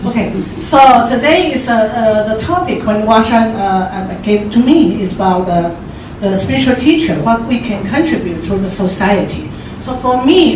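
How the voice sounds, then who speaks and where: A middle-aged woman with a Taiwanese accent giving an informal forum talk, haltingly, searching for words. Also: medium pitch. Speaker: forum speaker. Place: large echoey indoor room at a forum. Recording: very echoey room, distant mic, clear noise.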